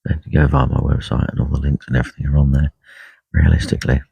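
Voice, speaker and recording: A man with a croaky voice talking quietly and unhurriedly on a live stream from a lake bank, full of ums and uhs. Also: extremely low pitch, little pitch movement, quick pace, no echo. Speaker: carp angler livestreaming. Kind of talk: casual live stream chat. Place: lakeside fishing swim outdoors. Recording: dull lo-fi live stream audio.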